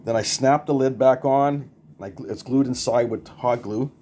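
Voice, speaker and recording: An older man with a relaxed, mellow voice talks casually through a homemade gadget. He rambles a little, doubles back on his words and keeps it plain-spoken. The amateur recording carries some faint background noise. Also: mid-range voice, moderate pace. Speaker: hobbyist demonstrating a homemade radio mount. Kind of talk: casual unscripted show-and-tell.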